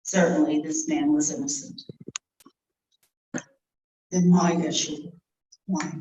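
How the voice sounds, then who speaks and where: A woman's voice: low-pitched, little pitch movement, dull audio, very noisy. A woman acting as a grieving follower at the cross. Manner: anguished, pleading, halting. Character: grieving woman disciple at the crucifixion. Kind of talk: live dramatic monologue. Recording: echoey room, distant mic.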